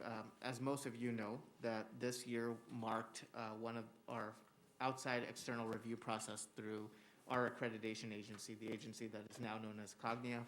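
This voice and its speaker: A man who is a school district administrator, giving a formal report to a school board in an earnest, steady way with many filler words. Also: medium pitch, a little hiss or hum. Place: public school board meeting room.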